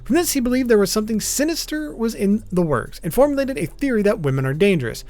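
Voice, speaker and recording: Higher-pitched man narrating, lively intonation. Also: fast talker. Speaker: video essay narrator. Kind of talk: informal scripted commentary on a list of topics. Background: background music.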